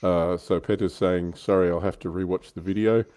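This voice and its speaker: A man's voice: deep voice, steady tone, moderate pace, warm tone. A man hosting a livestream in casual, chatty style, fielding comments from viewers as he goes. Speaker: livestream host. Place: livestream call.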